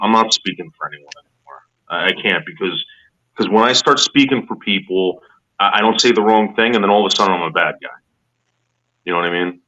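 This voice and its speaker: A man's voice: deep voice, pushed and bright. A man joining a livestream as a guest, stammering, repeating his words and swearing casually. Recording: dull lo-fi call audio.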